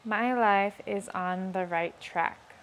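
Slow, calm woman guiding affirmations. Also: medium pitch. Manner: calm, measured, repeats each line twice. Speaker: affirmation guide. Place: outdoors on a rainy day.

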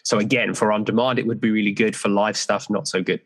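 A man with a deeper voice, a fitness coach speaking as a podcast guest in a relaxed, rambling way. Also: quick pace. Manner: relaxed, rambling with fillers like "so yeah". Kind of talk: casual interview chat.

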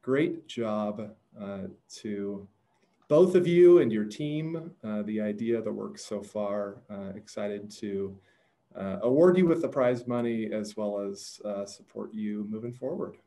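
Mellow-voiced man announcing an award. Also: on the low side, slow. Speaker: competition judge. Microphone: video call.

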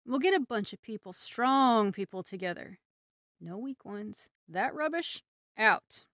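A woman playing an embittered, power-hungry survivor soldier in a radio drama, intense and halting, swinging between hushed and fervent. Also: mid-range voice, expressive, no echo, studio quality. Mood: scheming, hushed.

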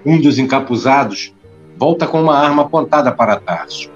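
A man's voice: mid-range voice, animated, brisk, dull audio. A man narrating a scripted audio drama, reading out the scene action. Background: clear music.

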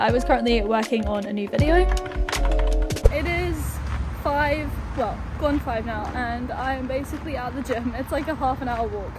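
A young woman in her twenties with an English accent, chatting casually in a vlog and rambling with lots of fillers. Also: a little high. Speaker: vlogger.

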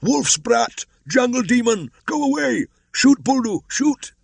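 A man voicing an angry, fearful village mob in an audiobook, with accusing shouts. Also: very high-pitched, very animated, takes it slowly, gravelly, slightly rough, dry recording, pitched above the performer's own voice, heavily acted. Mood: angry panic.

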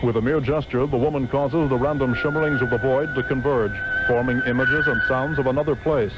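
A man's voice: medium pitch, wildly swooping pitch, brisk, muffled recording, over loud music. A man narrating a 1960s radio serial from a script in a dramatic, formal manner. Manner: grandiose, formal. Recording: very noisy old radio recording.

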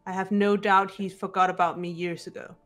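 A woman voicing a composed, guarded teenage girl detective in a video game, speaking in a measured, serious way, with bitterness held in check. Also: lowish voice, level tone, fairly bright tone, close to an everyday voice. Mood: restrained bitterness. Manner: measured, serious, deliberate delivery. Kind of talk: amateur video game voice acting. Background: background music.

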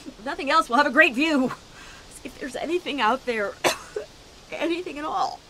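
An actress playing a sick but stubborn survivor, insistent and wry, in an audio drama. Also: female voice, sits high, expressive, moderate pace, bright, forceful tone, a little hiss or hum, low-quality recording. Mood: urgent pleading. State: sick, coughing. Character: sick, stubborn, daring woman survivor pleading for escape.

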